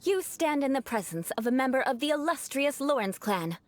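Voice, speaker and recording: A woman's voice: high-pitched, big pitch swings, fairly quick. A woman playing a proud young noblewoman knight with grandiose, formal aristocratic speech in an anime video game. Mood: haughty.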